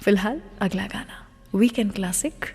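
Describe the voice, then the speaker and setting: A woman's voice: mid-range voice, normal speed, dark tone, slightly rough, in a large hall, soft background music. An Indian woman presenting a radio show conversationally, addressing listeners directly with questions and mixing English words into her speech.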